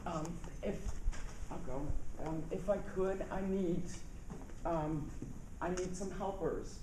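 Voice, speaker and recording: A slow-speaking American woman gives a public comment in a hesitant, halting way. The recording is clearly noisy, with room ambience around her. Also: fairly low pitch. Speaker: resident giving public comment. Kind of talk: unscripted public address. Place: indoor public meeting room.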